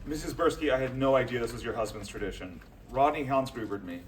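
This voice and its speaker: An actor playing a dejected, indignant and awkward outsider boyfriend in a comedy table read, with dry exasperation. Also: male voice, mid-range voice, fast, a little hiss or hum, close to an everyday voice. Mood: sheepish. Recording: distant mics, audience in echoey room.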